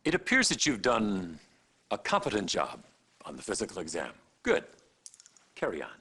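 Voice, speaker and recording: A stern senior doctor in a game cutscene. The voice is husky and sharp, on the low side. He is condescending and authoritative, admonishing with dry approval at best. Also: huge pitch swings, moderate pace, heavily acted. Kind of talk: acted game cutscene dialogue addressed to the player.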